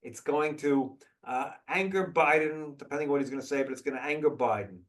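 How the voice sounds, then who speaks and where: A man's voice: fairly high pitch, a little fast, a bit bright, far from the mic. A man hosting a video call briefing, answering questions bluntly and thinking aloud with restarts.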